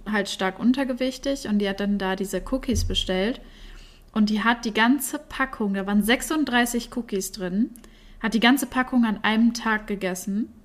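A woman co-hosting a casual chat podcast, chatty with lots of fillers and frequent laughter, a bit tired. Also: medium pitch. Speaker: podcast co-host.

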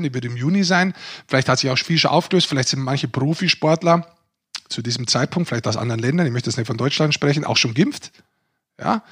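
A man with a fairly high voice hosts a casual sports podcast. He speaks in an animated, conversational way, as if bantering with colleagues. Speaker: podcast host.

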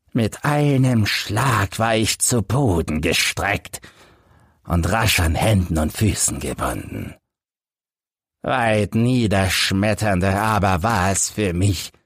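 A man's voice: fairly low pitch, dry recording, clean studio sound, heavily acted. A man voicing an embittered, crippled old ex-soldier who solemnly recounts his suffering in an audiobook story. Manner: solemn, measured, literary delivery. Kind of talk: audiobook first-person storytelling.

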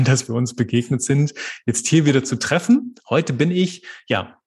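A man in his thirties, born in Belgium, chats as a guest on a founders' podcast. His voice sits in the middle range and has a slightly husky, breathy edge. He tells his story in a lively way, full of fillers and restarts. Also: medium pitch. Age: 30s. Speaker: podcast guest, copywriter and trainer. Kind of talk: casual interview chat.

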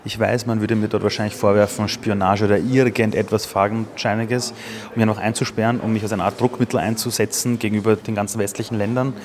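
A man's voice: fairly low pitch, little pitch movement, fast, crowd noise. A man in his forties who was born in Iran, giving earnest, impassioned answers as an entrepreneur in an interview. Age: 40s.

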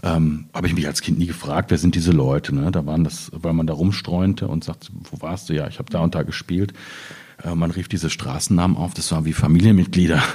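Middle-aged male poet, very low husky voice, reflective and halting in literary discussion. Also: a little mellow. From Ruhr area, Germany. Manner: thoughtful, halting, searching for words while speaking. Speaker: poet discussing his work. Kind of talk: reflective literary panel talk.

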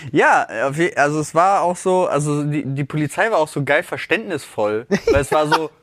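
A male podcast co-host with a rather high voice tells wry everyday stories in loose banter. The recording is close and dry. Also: lively intonation, moderate pace, fairly bright tone, no room sound. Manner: wry, laughing storyteller. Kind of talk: casual banter. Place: office studio in Berlin.

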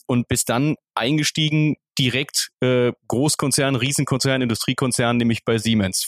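A man co-hosting an interview podcast, introducing a topic in a halting way as he searches for words. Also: medium pitch, no room sound, clean studio sound. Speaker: podcast co-host.

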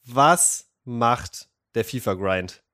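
A man with a cold who hosts a podcast, introducing the episode and asking relaxed follow-up questions. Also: mid-range voice, very slow, dry recording, studio quality. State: has a cold. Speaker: podcast host. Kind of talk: casual podcast chat.

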